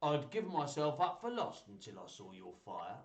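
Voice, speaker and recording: A man's voice: mid-range voice, huge pitch swings, normal speed, vocal fry. A man voicing a polite, earnest young nobleman in a storybook. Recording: roomy, distant microphone.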